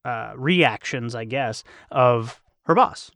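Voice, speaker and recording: A man's voice: medium pitch, slow pace, a bit bright, no echo, clean studio sound. A man in a casual panel discussion, thinking aloud as he analyzes and explains. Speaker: cast member in a behind-the-scenes discussion.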